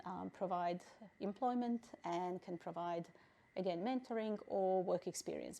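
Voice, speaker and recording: Low gravelly woman from Bosnia. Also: mellow. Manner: measured, thoughtful, pauses with fillers. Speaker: refugee council president, interviewee. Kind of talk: interview answers.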